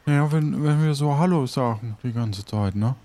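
A man's voice: medium pitch, normal speed, dark tone. A man improvising in an audio play, speaking in a hesitant, stammering way. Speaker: player in an improvised adventure. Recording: clear background ambient noise.

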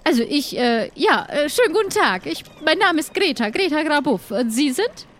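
A prim and haughty traveller with a high voice, acted by a woman in an improvised audio adventure. Her speech is affectedly formal, yet it breaks into flustered stammers. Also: very animated, normal speed, fairly bright tone, slight background noise. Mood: indignant, prim.

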